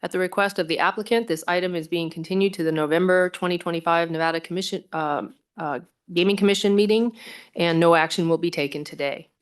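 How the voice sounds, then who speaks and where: A woman's voice: fairly low pitch, fairly even intonation, fast, clean tone. A woman chairing a formal public meeting in a procedural, courteous manner. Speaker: commission chair.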